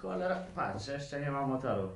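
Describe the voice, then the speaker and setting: A boastful young villager in a radio drama. His colloquial talk is breezy and animated, and the recording rings with room echo. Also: medium pitch, moderate pace, vocal fry. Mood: breezy, cajoling.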